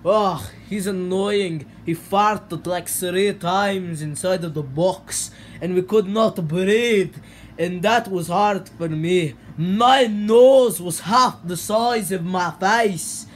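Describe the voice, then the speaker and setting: A man's voice: high voice, takes it slowly, slight background noise. A man voicing a big pink plush troll who claims to be Russian, speaking in a mock Russian accent with pompous, broken grammar, recorded on a phone in a bedroom. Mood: boastful.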